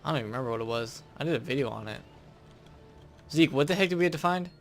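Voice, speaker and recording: A man's voice: mid-range voice, animated, very fast, faint music behind. A man hosting a gaming video, chatting casually and thinking aloud as he comments on his play.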